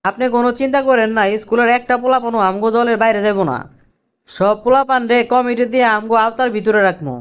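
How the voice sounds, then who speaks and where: A man's voice: very high-pitched, fast, smooth. A man voicing an eager, naive young political errand boy in a cartoon, with colloquial Bangladeshi speech. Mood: boastful. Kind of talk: cartoon dialogue.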